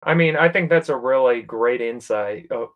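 A man's voice: medium pitch, normal speed, phone-quality sound. Man, interviewer, encouraging, reflective, casual.

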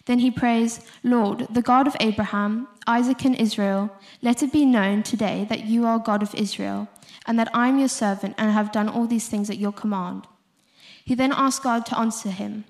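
A young woman with a South African accent and a fairly high voice delivers a short church talk. She follows a prepared sermon and slips into informal, conversational asides. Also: darkish tone.

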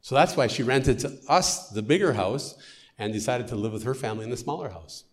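A man's voice: medium pitch, fairly quick. A middle-aged American man, a missionary, giving an earnest storytelling testimony in church. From United States.

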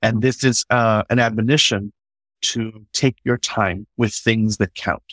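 A man's voice: fairly low pitch, fairly even intonation, unhurried, fairly bright tone, no echo. A man speaking in an enthusiastic, conversational way, swearing casually and often restarting his sentences. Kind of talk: conversational podcast discussion.

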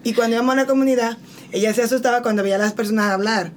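A woman's voice: a little high, fairly even intonation, racing, a little hiss or hum. A woman with a Dominican accent, chatting casually and emphatically as an interview guest. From Dominican Republic. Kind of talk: casual conversation.

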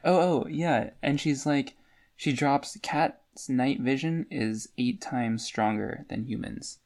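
A woman's voice: very deep voice, takes it slowly, darkish tone. A woman co-hosting a podcast, discussing a book in a casual, conversational way. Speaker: podcast co-host.

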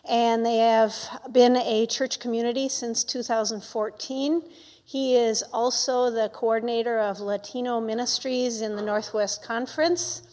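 A woman introducing a guest pastor to a congregation, reading a prepared introduction in a measured, formal manner. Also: on the high side, darkish tone. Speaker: church member introducing the guest speaker. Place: church sanctuary. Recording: long hall reverb.

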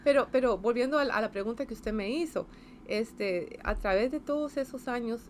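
A woman's voice: a little high, moderate pace, a little hiss or hum. A woman in her fifties with a Costa Rican accent, answering interview questions in a casual, hesitant way, with many fillers, restarts and tag questions. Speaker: engineer interviewee.

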